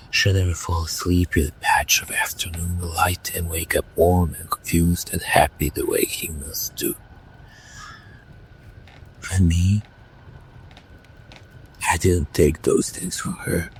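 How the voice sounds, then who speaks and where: A man playing a blunt, brooding young werewolf in a whispered audio drama, talking in slow, hushed tones. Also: low voice, vocal fry, a little hiss or hum, heavily acted, rough recording.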